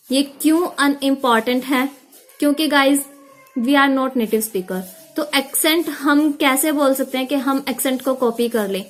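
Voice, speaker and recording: A young woman teacher talks through a spoken lesson in a high, clear voice. She explains at an even pace and states each short tip slowly and deliberately. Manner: explains in mixed Hindi and English, reads out English tips slowly. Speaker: IELTS teacher. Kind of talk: video lesson on speaking.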